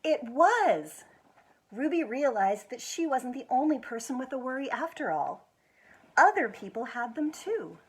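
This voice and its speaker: An American woman with a husky voice reads a children's picture book aloud expressively, stretching words for emphasis. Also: sits high. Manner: expressive, with drawn-out emphasis and quiet asides to show pictures. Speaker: executive director of a schools foundation, guest reader.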